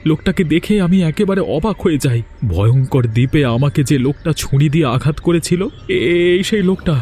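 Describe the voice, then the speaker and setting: A man's voice: on the high side, animated, brisk, mellow, slight background noise, natural voice. A man playing an earnest village father of a kidnapped daughter in a Bengali cartoon. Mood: astonished. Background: background music.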